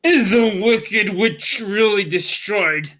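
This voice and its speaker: A grand, solemn wizard of a storybook, speaking as a bodiless voice, put on by a man reading aloud. The words come slowly and drawn out, pitched up and pushed forward. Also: bright, forceful tone, full character voice. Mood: startled, uneasy.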